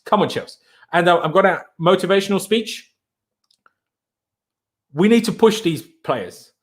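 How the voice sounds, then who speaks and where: A young man, German by mother tongue, hosts a football live stream and reads out viewer questions. He speaks English with a German accent in a slightly high, chatty and animated voice. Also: measured pace. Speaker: football channel host.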